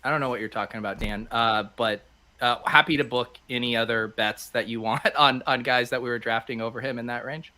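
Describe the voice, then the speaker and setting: A man's voice: medium pitch, fairly even intonation, fairly quick, sharp tone. A man co-hosting a sports podcast, teasing the others in a dry way. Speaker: fantasy football podcast co-host. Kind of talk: casual sports chat.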